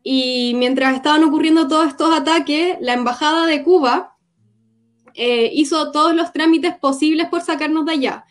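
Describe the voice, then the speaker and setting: A young woman from Gaza giving an earnest, reflective personal testimony in an interview, often pausing to recall. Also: high voice. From Gaza, Palestine.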